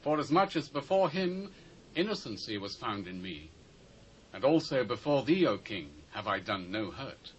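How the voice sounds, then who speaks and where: A British actor playing a devout, awestruck prophet in a dramatised Bible reading, speaking with measured, emphatic drama. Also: male voice, mid-range voice, very animated, muffled recording, close to an everyday voice. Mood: earnest. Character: devout male prophet, awestruck and earnest visionary. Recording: old cassette with faint hiss.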